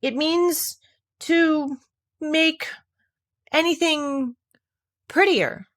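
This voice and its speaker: A woman's voice: high-pitched, very slow, pitched above the performer's own voice. A woman voicing a curious, polite little girl in a storybook, prim and questioning, easily put out. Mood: hesitant, prim. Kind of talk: storybook character voice.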